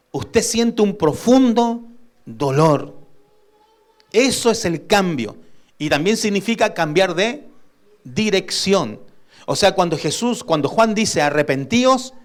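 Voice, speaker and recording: A male pastor with a somewhat high voice delivers an emphatic sermon. He throws questions out to the congregation and stretches certain words slowly for weight. He drops into quieter asides between louder points. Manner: emphatic preaching that asks the congregation for responses; swings between loud emphasis and soft asides.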